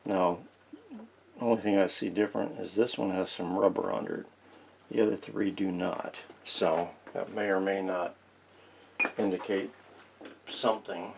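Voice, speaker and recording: A man's voice: deep voice, fairly even intonation, measured pace, gravelly, long pauses, darkish tone, slight background noise, low-quality recording. A man giving casual, folksy, unscripted narration as he works with his hands, thinking aloud as he goes. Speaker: host of a vintage radio repair channel. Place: indoor workshop.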